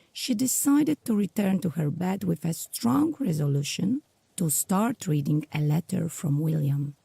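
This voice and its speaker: A woman reads scripted period fiction as a narrator. Her low-set voice is very breathy and husky, full of creak, with a measured storytelling delivery. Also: dark tone, no room sound.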